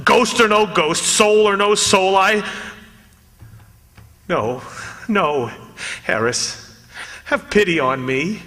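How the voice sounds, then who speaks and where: A desperate, high-voiced condemned man, echoing. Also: slow, pauses often, heavily acted. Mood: bitter, pleading. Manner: agitated, pleading, stammering. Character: desperate condemned living man visiting souls of the dead. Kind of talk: theatrical radio drama acting. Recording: long hall reverb, loud music behind.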